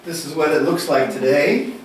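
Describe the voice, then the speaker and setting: A man giving a university lecture, deliberate and unhurried, posing questions and then answering them. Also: fairly high pitch, slight background noise. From United States. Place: lecture room. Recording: echoey room, distant mic, faint ambience.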